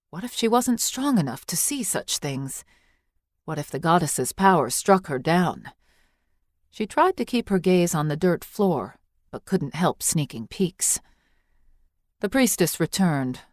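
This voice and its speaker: A woman narrating a scripted story as an audiobook reader, measured and expressive. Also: on the low side, dry recording, clean studio sound.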